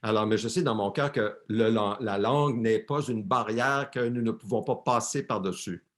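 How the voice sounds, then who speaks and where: A man's voice: on the low side, moderate pace. A man interpreting a sermon live for a church, often repeating and correcting words as he translates.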